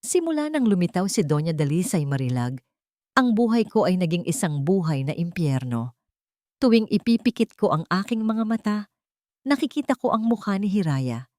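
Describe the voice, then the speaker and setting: A woman's voice: lowish voice, very animated, moderate pace, mellow, no room sound, clean studio sound. A woman narrating a scripted first-person story in a dramatic, suspenseful and emotive way.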